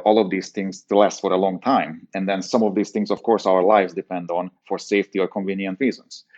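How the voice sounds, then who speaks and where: A man's voice: sits low, darkish tone. A man from Hungary with a Hungarian accent, explaining things as an engineering guest in an interview. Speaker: podcast guest, engineering executive. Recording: dull, lo-fi interview audio.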